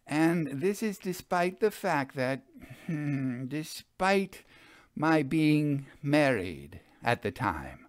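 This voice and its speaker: A man's voice: fairly high pitch, sing-song, takes it slowly, no room sound, voice pushed up. A man playing a proud stage magician in a storybook, speaking in florid, formal phrases with coy hesitations. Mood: coy, embarrassed. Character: famous male stage magician, proud, theatrical, evasively apologetic. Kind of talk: dramatized audiobook storytelling.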